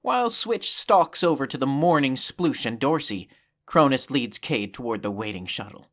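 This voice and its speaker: A man's voice: medium pitch, expressive, moderate pace, a bit bright, lightly acted. A man playing the solemn, dramatic narrator of a scripted science-fiction audio drama. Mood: vivid, solemn. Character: dramatic, solemn storyteller of a space saga.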